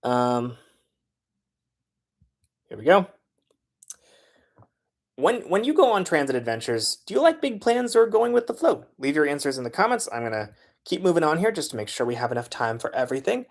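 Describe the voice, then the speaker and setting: A man talks through his filmmaking process in a relaxed, conversational way. He often pauses on filler sounds while he works out his next point. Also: a little high. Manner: loose and chatty, frequent filler sounds. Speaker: transit video creator. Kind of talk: casual how-to talk.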